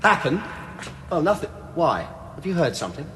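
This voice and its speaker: Pompous, animated English art snob. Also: male voice, on the high side, moderate pace, slight background noise. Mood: haughty. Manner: haughty, sneering, theatrical. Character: pompous, sardonic, snobbish male art curator in wartime London. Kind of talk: period audio drama acting.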